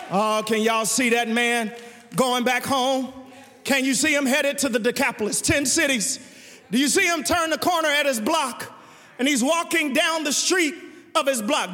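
A man preaching a sermon in a shouted, chanted cadence, often repeating his words as he builds. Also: very high voice. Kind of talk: whooping sermon. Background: organ music.

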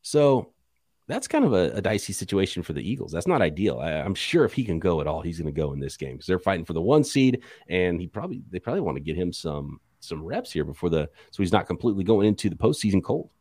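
A man co-hosts a sports show in a fairly low voice with very lively pitch movement. His talk is casual and animated, and the sound is close and dry. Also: quick pace, no room sound. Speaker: sports podcast co-host.

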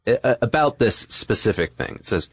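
A man's voice: on the low side, expressive, normal speed, no room sound, clean studio sound. A man chatting and explaining in a casual, discursive way as a podcast co-host. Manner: conversational, discursive.

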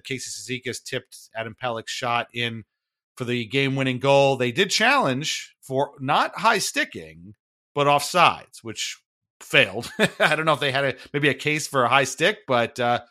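A man's voice: mid-range voice, lively intonation, normal speed, sharp tone. A man hosting a sports podcast, casually recapping in a conversational, wry way with frequent hedging. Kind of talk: casual hockey game recap chat.

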